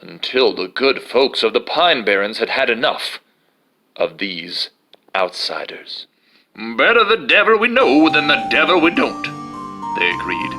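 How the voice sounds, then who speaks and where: A man giving a dramatic, theatrical and emphatic reading of a children's story, with music behind him. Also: mid-range voice. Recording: music under the voice.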